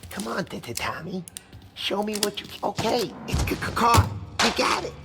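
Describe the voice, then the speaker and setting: A man's voice: high-pitched, big pitch swings, normal speed, gravelly, music in the background, exaggerated voice. An actor playing a friendly, nervous male mechanic with a pronounced stutter in a video game. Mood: nervous, helpful. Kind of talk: video game dialogue acting.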